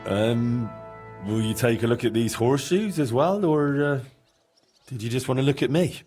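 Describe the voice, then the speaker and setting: A man's voice: mid-range voice, moderate pace, darkish tone, faint music behind, natural voice. A man playing an earnest, polite young medieval master blacksmith in a video game. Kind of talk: naturalistic game dialogue.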